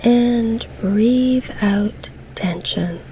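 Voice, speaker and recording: A woman leading a scripted guided meditation in a soft, breathy, soothing delivery with long pauses. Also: on the high side, a little hiss or hum, rough recording. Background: calm background music.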